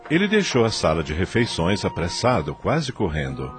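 Radio drama narrator, male: lower register, highly expressive scripted storytelling over light music. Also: moderate pace.

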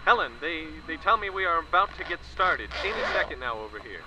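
Male actor as a seasoned war correspondent, higher pitch, plain-spoken, with heavy vehicle noise behind. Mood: calm. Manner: plain-spoken, halting restarts when excited. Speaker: war correspondent reporting live. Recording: loud vehicle and wind noise.

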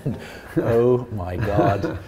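A man's voice: on the low side, very slow, crowd noise. A man interviewing a guest, talking in an eager, chatty way and sometimes stumbling over his words. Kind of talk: conversational interview.

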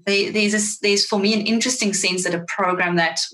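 A woman whose first language is Afrikaans, a marketing guest giving thoughtful, animated answers in an interview. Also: medium pitch, fairly quick.

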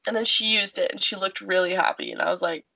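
A young woman co-hosts a casual podcast with a heavily creaky, mid-pitched voice. She talks informally and pokes fun at herself. The recording is dry, with no room sound. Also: fairly even intonation, fast, bright, forceful tone, dry recording, poor audio. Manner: informal, self-deprecating, jokey. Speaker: podcast co-host. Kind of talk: casual chat.

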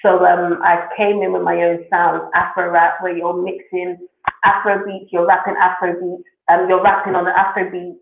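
Female guest, relaxed and steady; phone-quality, roomy audio. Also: on the low side, moderate pace, creaky. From Nigeria and England. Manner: relaxed, steady, many ums. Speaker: musician guest. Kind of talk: interview answers. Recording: echoey phone-like remote audio.

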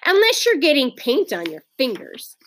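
Storybook big sister, schoolgirl, exasperated and scolding, highish animated voice, acted by a woman. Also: moderate pace, heavily acted. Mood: bossy. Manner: scolding, correcting.

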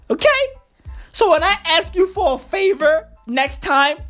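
A woman hosting a podcast in a loud, profane comedic rant, her voice going hoarse. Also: sits high. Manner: loud, excitable, swearing constantly, slangy. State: hoarse, losing voice. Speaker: podcast host.

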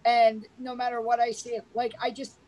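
A woman's voice: fairly high pitch, little pitch movement, moderate pace, a little mellow, poor audio. Chatty, enthusiastic woman reviewer. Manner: chatty and enthusiastic, thinking aloud. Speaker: podcast co-host. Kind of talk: casual movie review chat.

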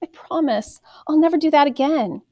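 A woman's voice: high voice, moderate pace, dark tone, dry recording, voice pushed up, natural voice. A woman voicing an earnest, protective ten-year-old girl in an audiobook, insistent in manner. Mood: remorseful, pleading.

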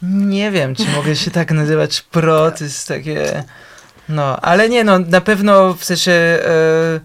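A man's voice: fairly high pitch, a little slow, fairly bright tone. A young man, a music producer and interview guest, speaking hesitantly and modestly with many fillers. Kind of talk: casual conversation.

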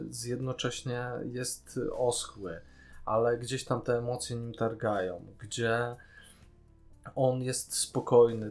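A man talking casually and giving opinions as a podcast co-host. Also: mid-range voice.

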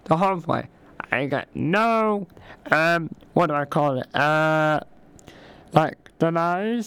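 A chirpy, nervous older DJ with a high, smooth voice that is going hoarse, as a man puts him on. He trips over his words and corrects himself, taking his time on a comic radio show. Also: fairly bright tone, no room sound. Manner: nervous, stumbling, self-correcting. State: losing his voice. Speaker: radio host.

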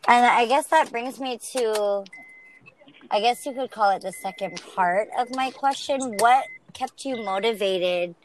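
A woman's voice: a little high, measured pace, background noise. A woman hosting an interview, asking thoughtful, searching questions. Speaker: podcast host.

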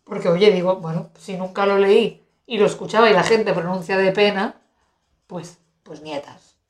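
Woman in her thirties from Spain, an expressive podcast co-host whose mid-pitched voice rings through a roomy, echoing space. She talks in a lively, exclamatory way, with laughter breaking through. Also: moderate pace. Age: 30s. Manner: animated, exclamatory, laughs often. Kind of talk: casual chat. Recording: roomy echo.

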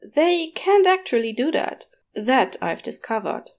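A woman's voice: sits high, very animated, a little slow, voice pushed up, heavily acted. A woman voicing a prim, cheerful male angel with effusive, old-fashioned politeness in an audiobook. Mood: reassuring, awkward. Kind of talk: audiobook character voice.

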